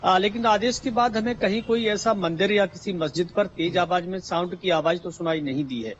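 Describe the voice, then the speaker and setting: High-pitched male correspondent, halting live report, muffled link with faint noise. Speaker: news correspondent. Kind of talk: unscripted live news report.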